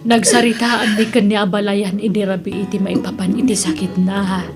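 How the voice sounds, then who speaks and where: A woman playing a devout, gentle older mother in an Ilocano audio drama, with a solemn, counselling manner. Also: medium pitch, flat delivery, moderate pace, close to an everyday voice. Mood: consoling. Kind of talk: Ilocano radio-style drama acting. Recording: music under the voice.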